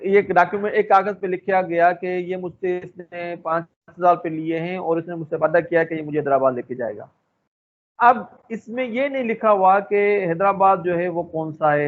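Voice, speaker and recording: Male law lecturer, Pakistani accent, high-pitched voice, informal and halting as he explains, heard over thin phone-quality audio. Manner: halting, explains through everyday examples. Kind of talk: informal explanatory lecture mixing in English terms. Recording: muffled, lo-fi phone-like sound.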